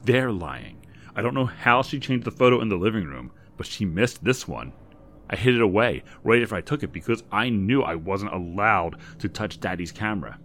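A man voicing an angry, bewildered adult woman who swears and shouts in disbelief. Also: on the low side, animated, moderate pace, slight background noise. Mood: defiant.

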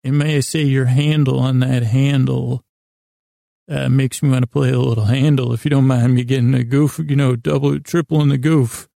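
Very creaky, meandering male podcast host. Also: medium pitch, flat delivery, dark tone, no room sound, studio quality. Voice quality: gravelly, creaky. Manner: meandering, halting, with long pauses and self-corrections; self-deprecating, silly. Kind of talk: rambling bedtime-story podcast monologue.

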